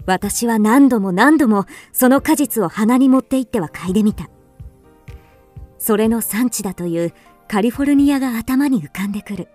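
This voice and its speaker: An actress playing a wistful, introspective first-person storyteller in a literary radio drama. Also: female voice, on the high side, lively intonation, moderate pace, a little mellow, faint music behind. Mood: wistful, relieved. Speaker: actor narrating.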